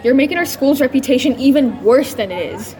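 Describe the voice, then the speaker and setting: A young woman acting as a snobbish rich schoolgirl in a student audio drama. Her voice is fairly high, haughty and scornful, the school bully to the core. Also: expressive, brisk, dark tone, some chatter behind.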